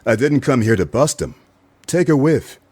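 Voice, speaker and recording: A man's voice: mid-range voice, very animated, moderate pace, a little mellow, slightly rough. An actor playing a wry, wisecracking hard-boiled male detective in a noir radio play, both narrating and in dialogue. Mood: teasing, friendly.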